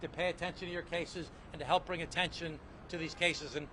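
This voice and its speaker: A man's voice: on the high side, fairly even intonation, a little fast, noisy background. A man, a congressman, giving assured remarks at an outdoor press event. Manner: assured, promising action. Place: outdoors on a city street.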